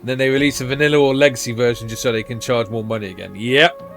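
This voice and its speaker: A man's voice: mid-range voice, steady tone, fast talker, a bit bright. A man streaming a video game, commenting casually and offhandedly as he plays. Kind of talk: casual playthrough commentary, reading game dialogue aloud. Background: faint game music.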